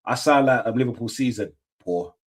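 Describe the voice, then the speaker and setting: A man's voice: medium pitch, moderate pace. A middle-aged man, chatting casually on a football watch-along stream, rambling with lots of fillers and restarts. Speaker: stream host.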